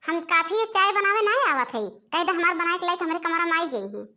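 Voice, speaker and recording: A high-pitched village mother-in-law from an animated comedy, played by a woman. She has an Awadhi accent. She frets, laments and scolds in a nagging way. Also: brisk, full character voice. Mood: angry scolding.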